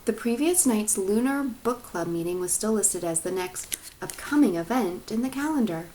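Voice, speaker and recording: A woman teacher reading a storybook aloud to her class in an expressive narrating style. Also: mid-range voice, moderate pace, slight background noise, poor audio.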